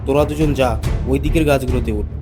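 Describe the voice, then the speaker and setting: A man's voice: mid-range voice, fairly even intonation, fast talker, over music, lightly acted. A man voicing the bossy, cautious leader of a band of village thieves in a cartoon, giving urgent orders. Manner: urgent, commanding. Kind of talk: cartoon voice acting.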